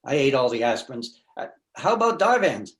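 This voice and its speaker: A man playing a neurotic, self-pitying jilted husband in a stage comedy reading, meek and halting. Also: medium pitch, sing-song. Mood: anxious, chatty. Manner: self-deprecating, halting, stammering. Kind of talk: stage comedy readers theater.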